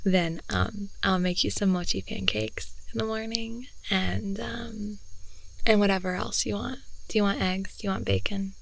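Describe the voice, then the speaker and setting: A young woman playing a talkative, affectionate, playful girlfriend in an improvised ASMR roleplay, rambling softly and often slipping toward a whisper, close to the microphone. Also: medium pitch, steady tone, moderate pace, creaky, close to an everyday voice, poor audio. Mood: cozy, doting. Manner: rambling, giggly, with doting asides. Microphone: close headphone-oriented ASMR microphone.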